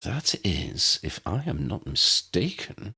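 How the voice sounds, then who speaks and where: A man's voice: deep voice, very animated, slow, creaky, bright, forceful tone, breathy edge, full character voice. A man voicing a learned, wonderstruck wizard who explains things precisely, in a storybook reading. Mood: awed.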